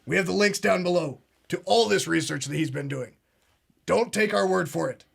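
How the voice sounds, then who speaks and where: A man's voice: high-pitched, normal speed, creaky, breathy edge, full character voice. A man playing a blustering male conspiracy talk-show host, pompous and fond of repeating himself. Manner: pompous, keeps saying "absolutely" and "ladies and gentlemen". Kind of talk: comedic parody show.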